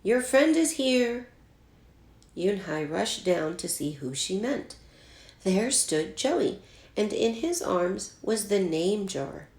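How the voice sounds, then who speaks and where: A woman's voice: on the low side, lively intonation, slow pace, a little mellow. A woman teacher reading a picture book aloud in a careful storytelling style for children. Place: indoors at home, echoey room. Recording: roomy, echoey home recording.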